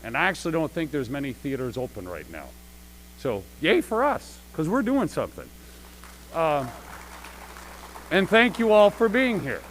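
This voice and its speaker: Male theater director, slightly high voice, chatty and emphatic, addressing an audience live with a faint hum behind. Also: very animated, normal speed, long pauses. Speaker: theater director and producer introducing a show. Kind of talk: informal live welcome speech to an audience. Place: school gym stage, live-streamed.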